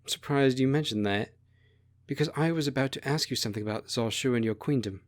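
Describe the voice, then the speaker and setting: A man's voice: medium pitch, quick pace, dry recording, clean studio sound, lightly acted. A man voicing an idealistic, naive sixteen-year-old princess with an earnest, proud manner in a story. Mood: curious.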